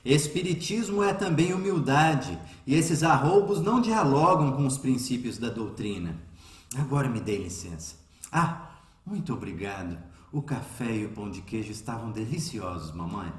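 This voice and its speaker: An actor playing an earnest, humble religious orator and devoted son in a radio drama, with formal, didactic delivery. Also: male voice, mid-range voice, lively intonation, moderate pace, lightly acted. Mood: modest, subdued. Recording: roomy echo.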